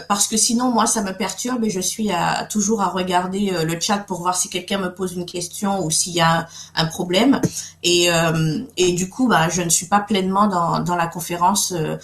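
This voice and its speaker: A woman's voice: fairly low pitch, steady tone, normal speed, a little hiss or hum. Woman hosting a webinar, echoey room. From Guadeloupe. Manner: friendly, many fillers and restarts. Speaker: webinar host and coach. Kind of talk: live webinar talk.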